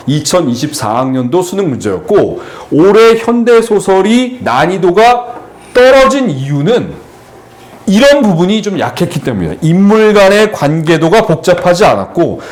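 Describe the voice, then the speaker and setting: Middle aged guy lecturing on exam lit, kinda high voice, pitch swings all over, lots of uh's. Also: very animated, moderate pace, darkish tone. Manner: explanatory lecturing with frequent fillers and restarts. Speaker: Korean literature exam tutor. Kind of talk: lecture at an exam-prep seminar.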